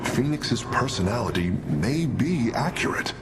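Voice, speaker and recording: A precise, thoughtful alien scientist in a game cutscene. The delivery is formal and analytical, mid-pitched with lively inflection, over clear background noise. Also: male voice, measured pace. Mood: pondering. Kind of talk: video game cutscene acting.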